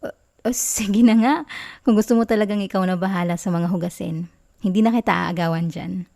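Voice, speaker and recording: A doting young Filipino girlfriend acted by a woman in an ASMR roleplay. Her voice is soft and mellow, sitting at a medium pitch. She talks tenderly and coyly, bashful and giggly with affection. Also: fairly even intonation, a little fast, vocal fry, close to an everyday voice. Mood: gentle, teasing.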